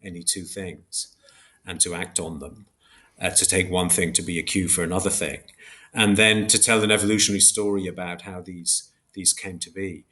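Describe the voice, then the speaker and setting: A man with a fairly low voice gives considered answers as an academic guest in an interview. He feels his way through each idea, often stopping to rephrase and qualify himself as he explains. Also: steady tone, moderate pace, bright, forceful tone. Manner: hesitant, self-correcting, reflective academic explaining. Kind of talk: thoughtful interview discussion.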